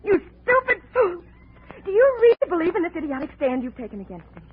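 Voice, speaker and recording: A woman's voice: extremely high pitch, big pitch swings, fast talker. An actress playing a fiercely loyal, defiant woman in a 1950s radio drama, with an intense, melodramatic delivery. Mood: furious, accusing. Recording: scratchy, narrow-band 1950s radio recording.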